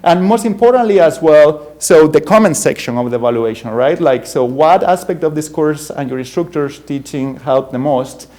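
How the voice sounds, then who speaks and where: A young man from Spain with a thick Spanish accent presents at a conference, his voice sitting somewhat high for a man. He talks in halting bursts, full of "uh" and restarts, and now and then laughs at himself as he goes through his slides. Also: lively intonation, warm tone. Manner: halting, frequent fillers and self-corrections, self-deprecating chuckles. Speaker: bioengineering professor giving a keynote.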